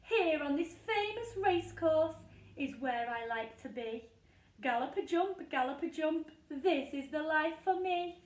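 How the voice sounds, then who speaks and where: Storybook rocking horse, male, wistful and rhyming, played by a woman; high, unhurried, roomy sound. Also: lively intonation. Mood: happy, proud. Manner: sing-song rhyming delivery.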